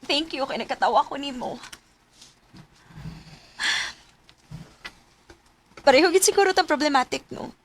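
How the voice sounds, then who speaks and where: A woman's voice: high-pitched, lively intonation, fast talker, slight background noise. An actress playing a frank, teasing young female college student in a radio drama. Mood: subdued. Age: young adult. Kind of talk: Filipino radio drama acting.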